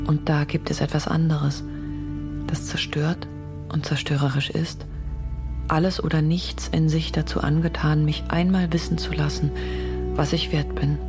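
An actress playing a woman writer confiding an intense, resolute love letter, intimate and half-whispered, for a radio feature. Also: sits low. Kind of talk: dramatic reading of a personal letter in a radio feature. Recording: music under the voice.

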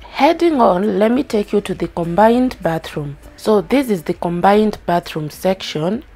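A woman's voice: mid-range voice, expressive, a little slow. A woman from Kenya narrating a house tour voice-over, chatting to viewers about each room. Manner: friendly, chatty commentary addressing viewers. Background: background music.

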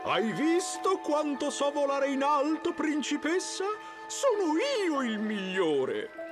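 An actor voicing a sentimental, theatrical bird who tells fairy tales in a cartoon with drawn-out, emphatic delivery. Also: male voice, high voice. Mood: boastful. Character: theatrical, sentimental wading bird storyteller with grand airs. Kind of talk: dramatic fairy-tale storytelling for a cartoon.

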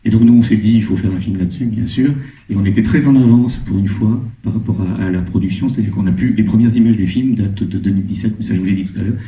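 A middle-aged man with a dark, mellow voice, pitched a little low, speaks haltingly into a handheld mic. He is heard from the back of a big, echoey cinema hall. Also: recorded from a distance. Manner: halting, many restarts and fillers. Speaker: film producer at a screening Q&A. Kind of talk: casual onstage talk to an audience. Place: large cinema hall, on stage. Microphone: handheld microphone over the hall PA.

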